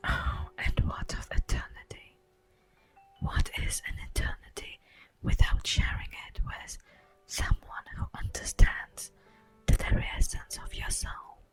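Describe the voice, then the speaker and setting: A woman playing an ancient, wistful immortal elf in a slow, breathy whispered ASMR roleplay monologue. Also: natural voice, low-quality recording. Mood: pleading. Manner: slow, theatrical, lingering pauses. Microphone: close microphone. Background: faint music.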